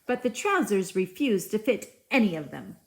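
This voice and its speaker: A woman's voice: medium pitch, sing-song, moderate pace. A woman teacher giving a read-aloud lesson, talking to young children and putting questions to them. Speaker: elementary school teacher. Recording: echoey room.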